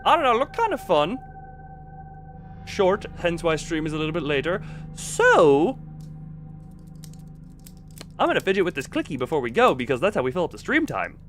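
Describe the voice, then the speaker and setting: Goofy man streamer, high animated voice. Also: normal speed, pauses often, faint music behind. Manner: goofy, rambling, self-interrupting. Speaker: game streamer. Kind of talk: casual livestream chat while setting up a game.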